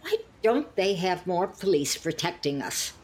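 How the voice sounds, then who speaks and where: Woman acting slow, prim elderly lady, lilting and judgmental. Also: lowish voice, very animated, darkish tone, slightly rough, full character voice. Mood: fretful, judgmental. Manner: prim, sighing, pointedly polite barbs. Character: elderly woman, genteel but judgmental, passive-aggressive old friend. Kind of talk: scripted two-hander audio comedy-drama.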